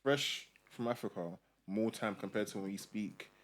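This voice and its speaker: A man's voice: on the low side, moderate pace. A young man, chatting casually on a podcast and using lots of slang. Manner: relaxed slangy talk, lots of London street slang.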